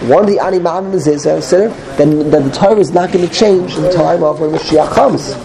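A man's voice: on the high side, brisk, crowd noise, poor audio. A man with a cold teaching a casual Torah class, often restarting his phrases and mixing in Hebrew terms. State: has a cold.

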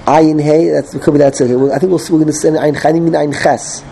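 A man's voice: a little high, fairly even intonation, fast, vocal fry, a crowd in the background, rough recording. A man with a cold teaching a casual Torah class, often restarting his phrases and mixing in Hebrew terms. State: has a cold.

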